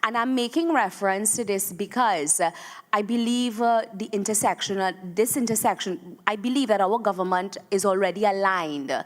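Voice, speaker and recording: A woman with a Trinidadian accent, speaking as a government minister at a round-table conference, pausing often with fillers and restarts. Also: mid-range voice. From Trinidad and Tobago. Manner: hesitant, many fillers and restarts. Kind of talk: formal remarks at a round table.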